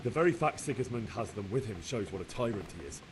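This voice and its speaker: An actor playing a young blacksmith's son in a video game, earnestly and sorrowfully recounting a massacre. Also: male voice, fairly low pitch, big pitch swings, quick pace, background noise. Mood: bitter. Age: young adult. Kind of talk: dramatic game cutscene acting.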